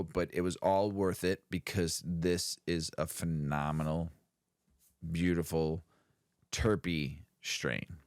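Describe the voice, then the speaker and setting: Laid-back deep-voiced man, dry sound. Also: smooth, no echo. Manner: laid-back, rambling, laughs often. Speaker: podcast co-host. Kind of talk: casual stoner podcast chat.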